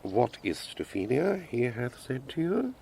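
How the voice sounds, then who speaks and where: An actor playing a pompous, wordy old father in Shakespearean style, lecturing his grown children emphatically in a gravelly, husky voice. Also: mid-range voice, huge pitch swings, a little slow, warm tone, a little hiss or hum, full character voice. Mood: probing, stern. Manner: declamatory, emphatic, sententious. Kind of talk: Shakespearean stage acting.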